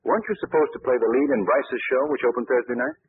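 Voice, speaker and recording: An actor playing a calm, shrewd gentleman detective in an old radio drama, asking measured, probing questions. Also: mid-range voice, breathy edge, dry recording, phone-quality sound, close to an everyday voice. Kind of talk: 1940s radio acting.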